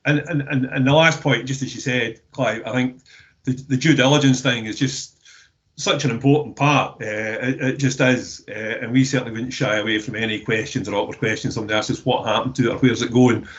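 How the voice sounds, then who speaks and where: A Scottish man speaking in a webinar discussion, halting and full of repeated words and restarts. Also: mid-range voice, fairly even intonation. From Scotland. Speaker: recycling company representative. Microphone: video call. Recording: dull lo-fi call audio.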